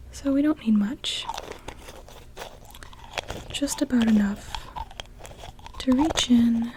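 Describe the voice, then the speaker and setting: A young woman whispering gently and without hurry in an ASMR witch roleplay, speaking directly to the listener. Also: fairly high pitch, poor audio. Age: young adult. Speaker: ASMR performer.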